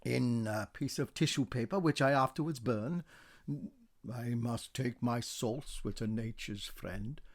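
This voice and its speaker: A man's voice: mid-range voice, unhurried. A man voicing meek, henpecked ghostly husbands who recite dutifully by rote in a radio play. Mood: resigned. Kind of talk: radio play verse drama.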